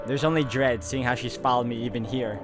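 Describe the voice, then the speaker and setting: A man's voice: medium pitch, fairly even intonation, a little fast, over music. A man reading a visual novel aloud as a gameplay host, narrating dramatically with casual asides.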